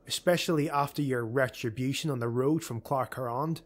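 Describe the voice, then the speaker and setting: A man voicing a sharp-witted, skeptical female elf warrior in an audiobook. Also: medium pitch, normal speed, close to an everyday voice. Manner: pointed, skeptical.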